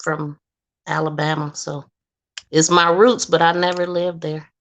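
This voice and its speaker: A woman's voice: sits low, little pitch movement, measured pace, dull audio. A woman from Ohio, a guest in a casual conversation, talking bluntly and laughing often.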